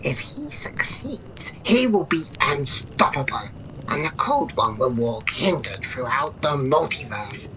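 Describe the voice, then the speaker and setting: A woman's voice: very deep voice, sing-song, measured pace, background noise, heavily acted. A woman playing a playful, sarcastic female jester in a fantasy audio drama, flippant but shrewd. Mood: urgent, sardonic. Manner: sarcastic, giggly, theatrical.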